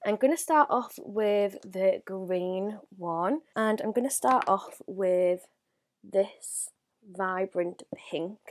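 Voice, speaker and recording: A woman's voice: mid-range voice, slow, a little hiss or hum. A young woman with a blocked-up nose from a cold, chatting casually through a makeup review and laughing often. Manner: chatty, giggly, drops to a near whisper while applying makeup. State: congested with a cold. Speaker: beauty vlogger.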